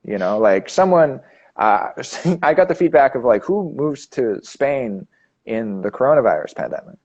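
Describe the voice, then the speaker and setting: Halting Canadian-raised man hosting a chat. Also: medium pitch, expressive, a little mellow, muffled recording. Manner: halting, many restarts and fillers. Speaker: host. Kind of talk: casual live chat.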